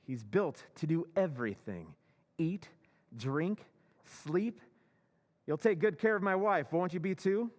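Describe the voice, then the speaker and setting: A man's voice: fairly high pitch, lively intonation, measured pace, in a large hall. Smug scheming husband, played by a man. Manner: smug, amused, self-assured. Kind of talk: old-time radio drama recreation.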